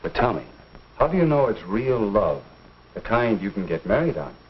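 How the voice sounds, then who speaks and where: An actor playing a genial, earnest minister counseling young people in an avuncular, folksy 1950s instructional film style. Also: male voice, fairly low pitch, big pitch swings, breathy edge. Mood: genial, probing. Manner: avuncular, measured, folksy lecturing. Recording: dull 1950s film soundtrack.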